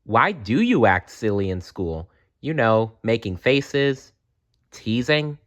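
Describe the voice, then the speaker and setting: A man voicing a gentle, wise and giggly stuffed bear in a children's storybook, with a kindly, earnest way of coaxing. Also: medium pitch, sing-song, slow. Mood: gentle, curious.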